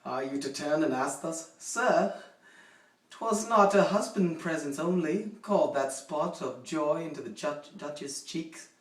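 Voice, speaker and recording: Man performing a haughty, slow-speaking duke, distant and echoey. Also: on the high side, lightly acted. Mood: cold, musing. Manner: theatrical, deliberate, swinging from hushed to forceful. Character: haughty, possessive Renaissance duke, coldly jealous. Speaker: poetry reader. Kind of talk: dramatic poetry recitation. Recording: roomy echo, distant microphone.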